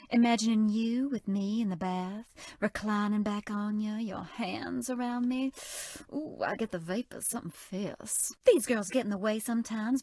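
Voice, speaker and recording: A woman's voice: mid-range voice, no room sound, close to an everyday voice. Woman voicing a sultry Southern belle baker. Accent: American Southern. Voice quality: sultry drawl, coy and teasing. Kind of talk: video game character voice lines.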